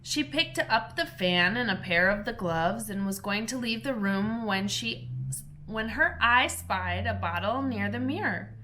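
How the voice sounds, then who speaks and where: A woman, a teacher, reading a children's storybook aloud in a gentle, clear storytelling manner. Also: medium pitch, expressive, measured pace, a little hiss or hum, poor audio.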